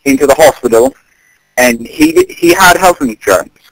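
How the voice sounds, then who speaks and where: A man playing an earnest, concerned British neighbour on a prank phone call, speaking haltingly and repeating his words. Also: sits high, huge pitch swings, vocal fry, slightly rough, no echo, exaggerated voice.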